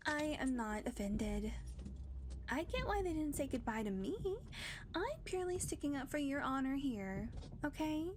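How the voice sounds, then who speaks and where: A woman acting as a sardonic, ageless female vampire in an audio roleplay, teasing in a dry tone and slipping into soft whispered asides. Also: high-pitched, animated, a little slow, a little hiss or hum.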